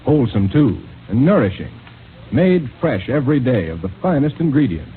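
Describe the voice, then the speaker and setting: A man's voice: mid-range voice, big pitch swings, normal speed. A man with an American accent reading scripted announcements and narration in 1930s radio style. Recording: tinny 1930s radio recording, faint noise.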